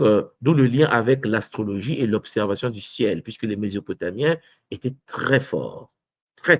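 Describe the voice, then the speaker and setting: A man's voice: lowish voice, vocal fry, breathy edge, dry recording. A man from Congo, lecturing an online audience and addressing listeners directly, with frequent hesitations and tag words. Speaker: teacher of traditional spirituality.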